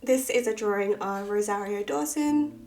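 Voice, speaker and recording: A woman, husky from a cold with a bit of vocal fry, chatting casually and self-deprecatingly through a sketchbook tour. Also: mid-range voice, moderate pace, a little mellow. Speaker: artist and channel host.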